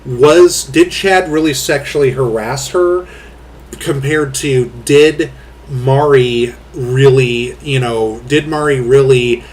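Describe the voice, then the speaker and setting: A man hosting a casual commentary chat, rambling through fillers with blunt, crude talk and a booming voice. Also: takes it slowly, slight background noise. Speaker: host.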